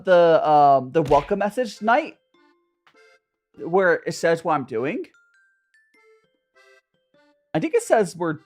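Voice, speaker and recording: A young man with a Southern Californian accent talks casually to his live chat, rambling and joking at his own expense. His pitch swings up and down a lot as he goes. Soft music plays faintly behind him. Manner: rambling, chatty, self-mocking, many restarts and fillers. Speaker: streamer hosting a tea chat. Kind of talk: casual livestream chat with viewers.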